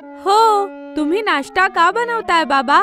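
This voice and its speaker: A bubbly little schoolgirl with a very high voice. She is keen and enthusiastic, in children's cartoon style, over background music. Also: expressive, a little slow, pushed and bright, full character voice. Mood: surprised.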